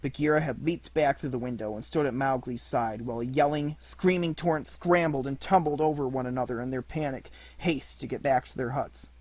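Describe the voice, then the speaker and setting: A man's voice: mid-range voice, fairly quick, few pauses, a little mellow. A man reading a literary story aloud as an audiobook narrator, in a scripted narration style.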